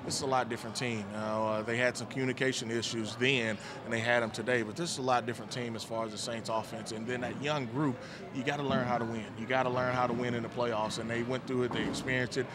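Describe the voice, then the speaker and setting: Relaxed man breaking down a football game. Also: mid-range voice, fast talker, background noise. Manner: relaxed, thoughtful, many "you know" fillers. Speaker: former player, football analyst. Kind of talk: postgame sports analysis. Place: studio set with team backdrop, indoors. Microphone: handheld microphone.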